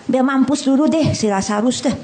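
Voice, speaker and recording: A middle-aged woman preaches to a congregation in a high, bright voice. She is lively and blunt, cracks jokes in casual slang and keeps throwing questions at the room. Faint hall ambience hums behind her. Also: brisk, a little hiss or hum. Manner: animated, humorous, blunt and colloquial, asks the audience questions. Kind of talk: lively sermon.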